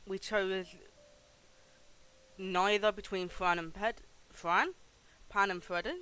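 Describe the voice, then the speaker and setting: Male livestream host, high pitch, bright tone, informal and sweary game commentary. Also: fairly even intonation, slow pace, clean tone, rough recording.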